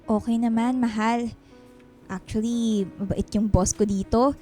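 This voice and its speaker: An affectionate yet easily exasperated wife working abroad, played by an actress in a Filipino radio drama. Her voice is high-pitched, with light music underneath. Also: fairly even intonation, measured pace, mellow, close to an everyday voice. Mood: casual, affectionate. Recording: music bed behind voice.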